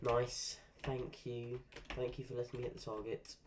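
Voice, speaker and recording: Male streamer, casual rambling commentary, mid pitch, faint keyboard noise behind. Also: level tone, normal speed. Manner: chatty, swears at the game, rambling asides. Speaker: game streamer. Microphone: desk microphone.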